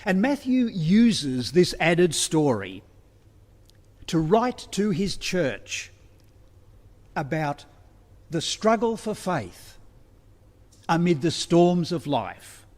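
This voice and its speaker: A man preaching a sermon as a minister, deliberate and pausing between phrases. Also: on the high side, sing-song, darkish tone. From Australia. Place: church, livestreamed service. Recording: long hall reverberation.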